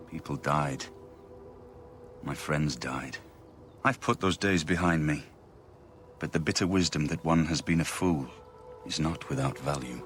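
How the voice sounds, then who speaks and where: An actor playing a wise, solemn mage ally in a fantasy game, speaking in a grave, measured, earnest way. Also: male voice, low voice, a bit bright. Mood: solemn, regretful. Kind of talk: scripted fantasy game dialogue. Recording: music behind the voice.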